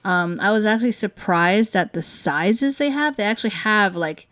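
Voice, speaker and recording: A woman's voice: mid-range voice, normal speed, a bit bright, no room sound, studio quality. A woman from the United States, co-hosting a casual explanatory chat in an agreeable way. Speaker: co-host.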